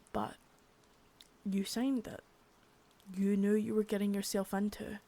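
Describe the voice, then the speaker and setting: Give me a woman doing a cold, cursing boss who scolds in hushed tones. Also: mid-range voice. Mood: stern. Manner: harsh, profane, cold, drifts into whispers. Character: stern foul-mouthed female boss, intimidating. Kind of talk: ASMR roleplay.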